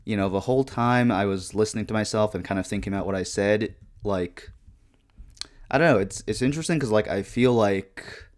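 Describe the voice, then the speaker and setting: A man in his twenties talking casually and reflectively over gameplay, in a deadpan monotone with lots of "like" and "um". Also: on the low side, brisk. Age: 20s. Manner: deadpan monotone with many fillers. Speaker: YouTuber.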